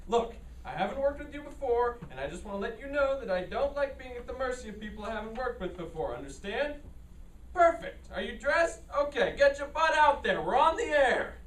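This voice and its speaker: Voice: mid-range voice, very animated, fairly quick, slight background noise, natural voice. A performer playing an angry, bossy television producer in a staged play reading, indignant and scolding. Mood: furious, exasperated. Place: indoor library room, live staged reading. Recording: distant mic in echoey room.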